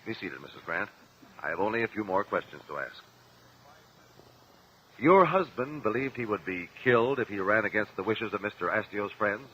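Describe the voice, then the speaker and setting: An actor playing a sharp, persistent defense lawyer in a vintage American radio drama, speaking formally and articulately. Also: male voice, lowish voice, lively intonation, moderate pace, a little hiss or hum. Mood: probing. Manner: articulate, pressing, courtroom formality. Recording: dull vintage radio broadcast.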